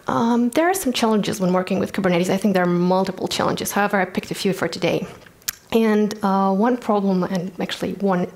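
A young woman with a Romanian accent giving a technical conference talk on a large stage, explaining earnestly with frequent ums and restarts. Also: medium pitch. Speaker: conference speaker.

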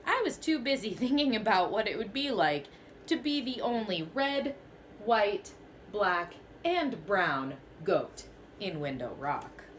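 Expressive young woman reading a picture book. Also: mid-range voice, slow, a little hiss or hum. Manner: expressive storytelling, first person as the goat. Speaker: teacher. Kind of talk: children's picture book read-aloud. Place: small bedroom, indoors.

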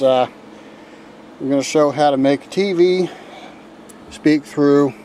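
A man talks through a simple do-it-yourself setup in a casual, folksy way, stopping for fillers and restarting sentences. His voice sits at an ordinary middle pitch. It comes through noisy camcorder audio with a steady hum underneath. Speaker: DIY video maker. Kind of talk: casual how-to demonstration. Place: inside a motor home at night.

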